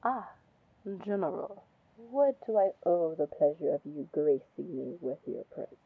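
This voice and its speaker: Casting: actress as female pirate lord for fantasy ASMR roleplay; low, gravelly voice, flirtatious, confident, protective. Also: lively intonation, narrow, tinny audio, exaggerated voice. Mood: coolly polite. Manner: slow, drawling, flirtatious terms of endearment. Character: confident, teasing female pirate captain, commanding yet protective.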